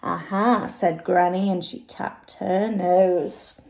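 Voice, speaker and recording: A woman's voice: fairly high pitch, sing-song, unhurried, darkish tone. A woman, a nursery teacher, reading a picture book aloud to young children in a sing-song, theatrical way.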